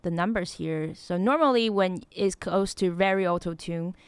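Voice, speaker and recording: Chatty young woman, low voice, faint music. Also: normal speed, smooth, no echo. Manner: chatty and self-deprecating, laughs at herself. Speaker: music producer hosting a tutorial stream. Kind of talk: casual tutorial talk. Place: small home studio with neon lights. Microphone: studio mic on a boom arm close to her mouth.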